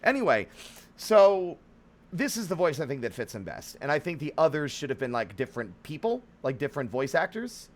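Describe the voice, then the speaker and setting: A man's voice: fairly high pitch, sing-song, brisk. A man streaming a game live, commenting casually in a rambling, self-questioning way with some swearing.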